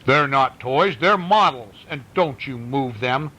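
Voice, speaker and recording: A man voicing an indignant young boy in a storybook, protesting loudly and defensively. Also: medium pitch, animated, unhurried, poor audio. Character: indignant young brother, defensive about his dinosaur models.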